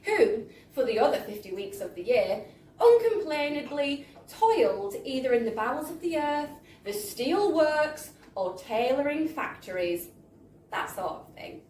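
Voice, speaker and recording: A woman reads a story to a live room in a high, theatrical voice. Her pitch swings freely and expressively. She sounds distant from the mic in an echoey space. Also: sing-song, a little slow, a little mellow, slight background noise. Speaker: reader of a book excerpt. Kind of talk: live dramatic storytelling reading. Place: live book launch in a bar.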